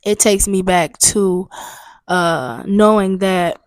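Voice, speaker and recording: A woman's voice: medium pitch, slow pace, dry recording. Young woman, podcast host, candid venting chat, slightly stuffy, dry close mic. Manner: candid, chatty, venting with emphatic repetition. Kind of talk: solo casual podcast talk. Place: booked studio room.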